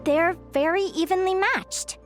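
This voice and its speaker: Very high, bubbly young girl adventurer. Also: a little slow, faint music behind. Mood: curious. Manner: bubbly, earnest, expressive. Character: cheerful, eager young girl adventurer with companion creature. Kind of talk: anime video game voice acting.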